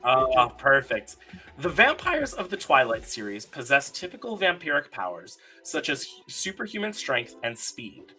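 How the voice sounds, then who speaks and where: A man's voice: mid-range voice, huge pitch swings, moderate pace, sharp tone, faint music behind. A man hosting a casual trivia game on a live stream, talking in a wordy, pedantic way with asides. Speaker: quiz host on a podcast live stream.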